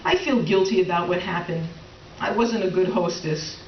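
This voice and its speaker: A woman's voice: on the low side, moderate pace, lightly acted. A woman playing a middle-aged wife in comic readers theater, tightly wound and resentful, with brittle politeness and dry sarcasm. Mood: guilty, candid. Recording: roomy, distant, dull, faintly noisy stage recording.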